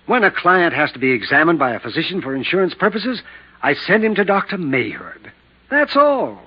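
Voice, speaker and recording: A man's voice: a little high, sing-song, moderate pace, slightly rough. An actor playing a middle-aged insurance broker in a 1950s radio drama, terse, formal and evasive, on his guard and indignant. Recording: muffled vintage 1950s radio recording.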